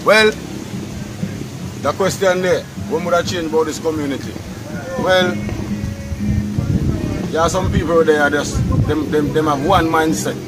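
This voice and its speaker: A man's voice: a little high, steady tone, measured pace, pauses often, loud music behind the voice, poor audio. A young Jamaican man interviewed outdoors under a tarp, easygoing and calling the interviewer brother, picked up by a phone a few feet away. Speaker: interviewee, local business owner. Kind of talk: casual interview. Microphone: phone camera a few feet away. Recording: outdoor phone audio with loud background noise.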